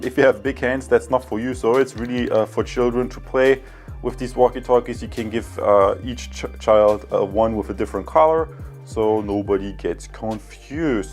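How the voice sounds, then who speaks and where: A man's voice: medium pitch, a little mellow. A man presenting a product review in casual talk to viewers, often hesitating and correcting himself. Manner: halting, with frequent hesitations and self-corrections.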